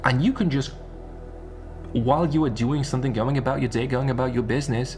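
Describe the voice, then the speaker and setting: A man's voice: medium pitch, a little fast, darkish tone, faint music behind. A man giving an unscripted, rambling monologue as an online content creator, repeating phrases and posing rhetorical questions. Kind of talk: unscripted one-take monologue.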